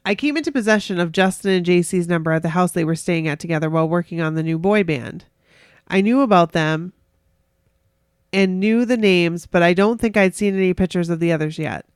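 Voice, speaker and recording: A woman's voice: on the low side, fairly quick, clear, resonant tone, dry recording, studio quality. A woman co-hosting a podcast, casually chatting and reading stories aloud in a giggly, gushing way. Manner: giggly, gushing, reacts excitedly. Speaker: podcast co-host.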